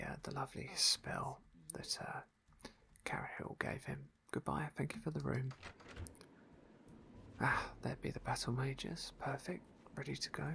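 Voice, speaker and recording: A man whispering ASMR narration of a video game playthrough in a soft, unhurried, genteel way. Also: mid-range voice, creaky.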